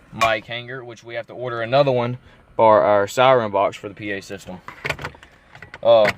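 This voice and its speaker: A man giving a casual, unscripted show-and-tell vlog, informal and thinking out loud as he goes. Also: mid-range voice, a little hiss or hum. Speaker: car vlogger.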